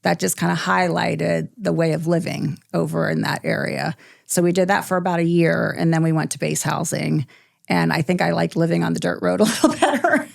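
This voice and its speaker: A middle-aged woman, a company president, telling stories from her childhood in a relaxed, thoughtful interview with many pauses. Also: on the low side, creaky, dry recording, clean studio sound. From born in Iceland, military family. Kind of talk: podcast interview, reflective storytelling.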